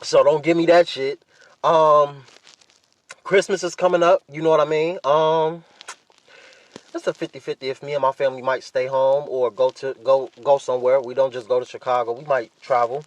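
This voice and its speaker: A man's voice: mid-range voice, fairly even intonation, normal speed. An American man, youngish, chatting casually on a solo podcast in a laid-back, rambling way with plenty of swearing. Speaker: podcast host.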